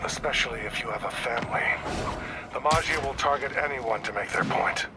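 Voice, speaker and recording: A man's voice: medium pitch, a little fast, very noisy, lightly acted. An American man playing a seasoned, shrewd police detective in a video game, plainspoken and guarded. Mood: matter-of-fact. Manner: plainspoken, folksy, probing. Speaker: video game supporting character. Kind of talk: video game voice acting, phone conversation.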